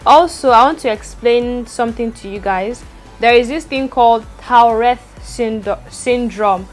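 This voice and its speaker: A young woman vlogging from home, talking very fast with a stutter and repeating words. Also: a little high, faint music behind. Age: young adult. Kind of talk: personal vlog talk to camera. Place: indoors at home, rain outside.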